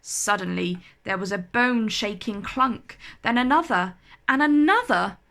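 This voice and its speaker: A woman reading a children's storybook aloud in an expressive, animated, carefully enunciated way. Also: medium pitch, unhurried.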